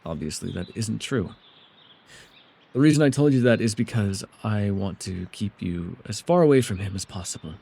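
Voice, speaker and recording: A man playing a mysterious, soft-spoken elf with a gently teasing, secretive way, in an immersive ASMR roleplay with hesitant, tender asides. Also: on the low side, animated, moderate pace, gravelly, slightly rough, exaggerated voice. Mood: flustered, guarded.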